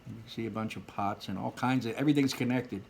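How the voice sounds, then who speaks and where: A man's voice: medium pitch, quick pace, creaky, slight background noise. A man reading a children's book aloud as a storyteller, with dramatic emphasis on descriptive words and casual asides.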